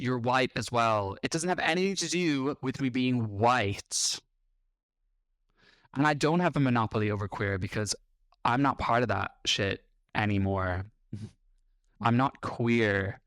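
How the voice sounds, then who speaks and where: Irish guy, 30s, podcast host, snarky and blunt, dry close sound, middle pitch. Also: unhurried, a bit bright, no echo. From Ireland. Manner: blunt, combative and sarcastic. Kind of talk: reacting to video clips.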